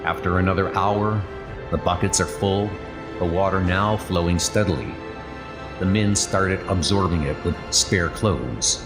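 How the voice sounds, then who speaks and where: A man's voice: low voice, unhurried. A man voicing a young British midshipman narrating his wartime diary in a subdued, introspective way. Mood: reflective. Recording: voice over loud background music.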